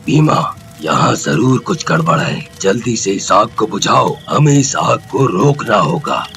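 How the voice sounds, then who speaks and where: An actor playing a resolute village headman, firm and authoritative, in a Hindi animated story. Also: lowish voice, a little slow, faint music behind. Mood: urgent.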